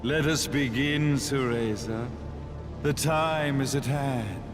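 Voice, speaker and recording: A man's voice: mid-range voice, slow pace, over music, close to an everyday voice. A man playing a grandiose, scheming zealot villain in a video game, with a pompous, ceremonial delivery. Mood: exultant, ceremonial. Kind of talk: dramatic video game cutscene monologue.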